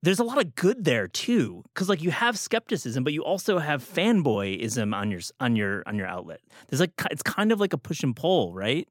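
A man's voice: on the high side, big pitch swings, normal speed, hardly any pauses, no room sound, clean studio sound. A man hosting an interview in an informal, opinionated way, thinking aloud as he builds toward his questions. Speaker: interviewer, host. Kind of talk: conversational interviewing. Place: studio.

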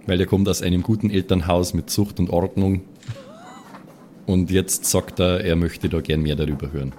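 A man's voice: low voice, fairly even intonation, normal speed, dark tone. A man bantering casually as a player in a role-play podcast. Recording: faint background noise.

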